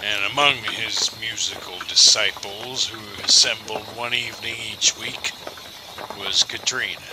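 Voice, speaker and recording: A folksy storyteller guide acted by a man in an audio drama, with a lowish voice and very slow, deliberate pacing. He is wry and theatrical with an ominous edge, over clear outdoor noise. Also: steady tone, close to an everyday voice. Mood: wry, teasing.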